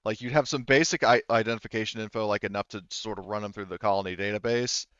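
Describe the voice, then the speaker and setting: A man's voice: lowish voice, fairly even intonation, quick pace, fairly bright tone, clear, resonant tone, no room sound. Man, game master, dry slightly muffled recording, rambling explanations, tabletop roleplay.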